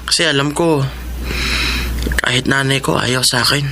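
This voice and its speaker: A man's voice: medium pitch, fairly even intonation, moderate pace, sharp tone, slight background noise. An actor playing a self-conscious, loving teenage boy in a radio drama, earnest and plaintive. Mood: sad, wistful. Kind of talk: Filipino radio drama acting.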